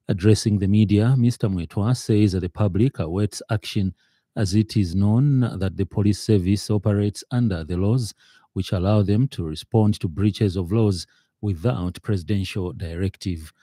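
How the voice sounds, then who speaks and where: A man with a Zambian accent reading a formal radio news bulletin in a studio, with a measured delivery and the odd filler. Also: fairly low pitch, mellow, dry recording. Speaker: newsreader.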